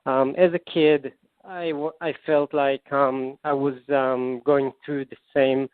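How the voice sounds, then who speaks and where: A man's voice: mid-range voice, flat delivery, clear, resonant tone, no echo. Israeli man on a tinny phone line. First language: Hebrew. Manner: halting, many fillers and restarts. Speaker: singer-songwriter guest. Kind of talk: casual interview answers.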